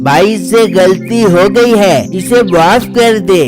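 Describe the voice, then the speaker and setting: A man plays a protective brother hatching schemes in a cartoon. The male voice is rather high, and music plays clearly beneath it. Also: slow pace. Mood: placating. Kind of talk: children's cartoon dialogue. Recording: music under the voice.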